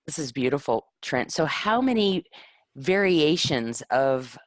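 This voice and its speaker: Lady author hosting a chat, low voice, super dry sound, lots of mm-hmms and praise. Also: expressive, measured pace, no echo. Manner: encouraging, warm praise with many affirming "mm-hmm"s. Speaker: author and lettering teacher hosting interviews. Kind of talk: casual chat.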